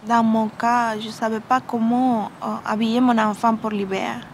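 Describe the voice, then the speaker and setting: A woman, an immigrant mother, speaking hesitantly in a documentary interview and pausing to find her words. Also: fairly high pitch, little pitch movement, a little hiss or hum.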